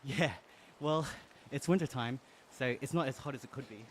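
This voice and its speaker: An Australian man on a casual live stream, reading viewer comments aloud while riding an indoor bike, upbeat and quick to laugh. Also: mid-range voice, a little hiss or hum. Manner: upbeat, laughs often, friendly and chatty.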